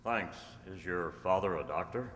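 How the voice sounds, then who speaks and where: A man's voice: low-pitched, normal speed, a bit bright, a little hiss or hum. A man playing a kindly, commanding masked cowboy hero in an old-time radio western, on a hall stage. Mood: calm, kindly. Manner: declarative, reassuring, commanding. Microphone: stand microphone. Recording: echoey hall stage recording.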